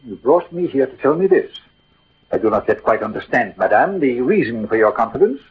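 A man's voice: medium pitch, big pitch swings, fairly quick, slight background noise, natural voice. An actor playing a proud, witty, lovesick swordsman and poet in a theatrical, eloquent old radio drama manner. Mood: hurt restraint. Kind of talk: 1940s-50s theatrical radio drama acting. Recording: old husky phone-like radio recording.

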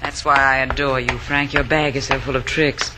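An actress playing a sardonic, mercenary femme fatale in an old radio crime drama, with an arch delivery. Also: female voice, very deep voice, little pitch movement, moderate pace, over music. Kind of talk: 1940s-50s radio crime drama acting. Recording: old radio recording, faint noise.

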